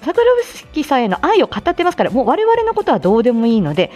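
A woman hosting a casual live morning audio show, chatting to listeners in a lively, expressive way with frequent laughter. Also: a little high, fast. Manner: chatty, laughs often while talking. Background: background music.

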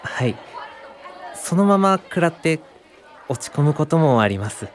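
A man's voice: a little high, very animated, a little slow, some chatter behind. An actor playing a modest, anxious young male student in a radio drama, polite, hesitant and eager to please. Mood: timid, deferential. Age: young adult. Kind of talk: radio drama acting and reminiscing narration.

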